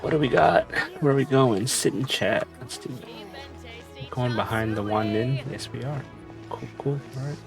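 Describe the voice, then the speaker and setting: A man's voice: medium pitch, sing-song, unhurried, gravelly, music in the background. A man giving casual, slangy reactions as a game streamer while he plays. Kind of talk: casual gameplay reaction commentary.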